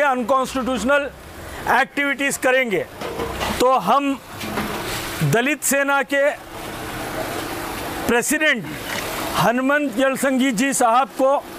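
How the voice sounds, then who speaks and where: A man's voice: extremely high pitch, background noise. A man delivers an impassioned, emphatic public statement in short declamatory phrases, as a community spokesman.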